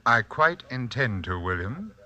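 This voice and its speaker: An actor playing a pompous, menacing occultist uncle with formal, condescending speech in a 1940s radio drama. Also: male voice, medium pitch, sing-song, a little slow, pushed and bright, exaggerated voice. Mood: coolly condescending.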